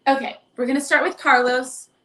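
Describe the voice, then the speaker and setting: A woman with a hoarse voice chatting casually and affectionately as she hosts a livestream as a tarot reader, reading out viewer comments. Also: sits high, fairly even intonation, fairly quick, bright, forceful tone. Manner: effusive, affectionate greetings and endearments. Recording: echoey, roomy live stream.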